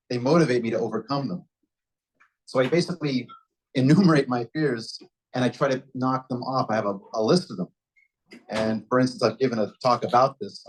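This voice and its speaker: A man's voice: mid-range voice, breathy edge, poor audio. A man giving an impromptu answer at a club meeting, reflective and often restarting his sentences. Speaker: club member answering a question. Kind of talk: impromptu speech.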